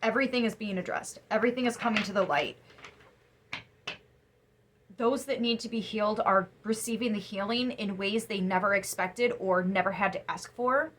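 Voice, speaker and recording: A young woman giving a casual tarot reading to camera, chatty and emphatic, sniffly after crying, her voice turning soft when she muses. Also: medium pitch, normal speed, a little hiss or hum, rough recording. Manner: chatty, emphatic, drops to soft musing asides. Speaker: tarot reader.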